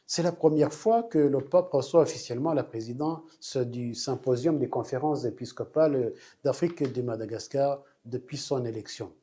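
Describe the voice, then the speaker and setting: Man, news presenter, formal bulletin reading, mid pitch, warm mellow voice. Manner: formal reading with some hesitant fillers.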